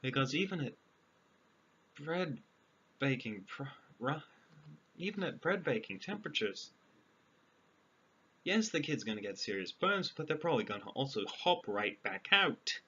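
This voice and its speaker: Deadpan man storyteller on lo-fi audio. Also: medium pitch, measured pace, long pauses. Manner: dry, sarcastic, deadpan. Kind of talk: fairy tale reading with deadpan comic asides. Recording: dull lo-fi audio, clear background noise.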